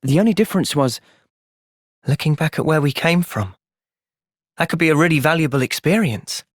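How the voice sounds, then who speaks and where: An earnest young British hero in a video game. He is thoughtful, polite and determined, with a very mobile pitch. The sound is dry, with no room on it. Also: animated, normal speed, no room sound. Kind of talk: video game cutscene dialogue.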